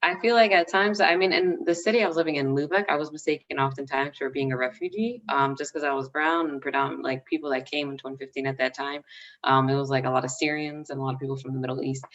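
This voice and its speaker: A woman's voice: rumbling low, fairly even intonation, fast talker, a bit bright. A woman speaking casually on a panel, chatty and laughing often, with lots of filler words. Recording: dull sound, mic far off.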